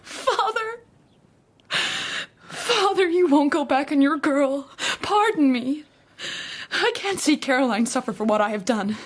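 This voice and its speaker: A woman playing an anguished young society daughter in a period radio drama, earnest and halting. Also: sits high. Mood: desperate pleading. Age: young adult.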